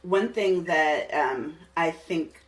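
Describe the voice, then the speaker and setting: A woman's voice: low voice, slow. A woman hosting a podcast, chatting casually and effusively with her guest. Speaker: podcast host, interviewer. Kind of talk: casual interview chat. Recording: slightly distant mic, faint music.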